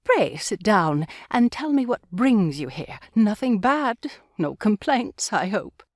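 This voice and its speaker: Casting: gracious elderly grandmother, gentle and earnest, expressive swooping intonation, close dry audiobook sound. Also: mid-range voice, slow pace, dry recording. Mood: gracious, pleased. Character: kindly pious old grandmother, gracious and gently probing. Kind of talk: full-cast audiobook acting.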